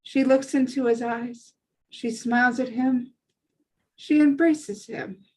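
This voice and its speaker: A woman reading screenplay stage directions as scripted narration in a measured way. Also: high-pitched, dark tone, husky, poor audio.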